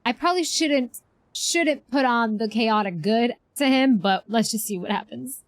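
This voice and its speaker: Female game streamer: higher register, informal, slangy live reactions, very dry close-mic recording. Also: a little slow, no echo. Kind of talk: casual livestream reaction chat.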